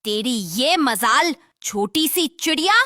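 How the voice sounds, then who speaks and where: A woman voicing a cruel, gloating female predator eagle in a cartoon, with menacing, drawn-out delivery. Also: high-pitched, lively intonation, pushed and bright, dry recording, clean studio sound, heavily acted. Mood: enraged, threatening. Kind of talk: dramatic cartoon villain acting.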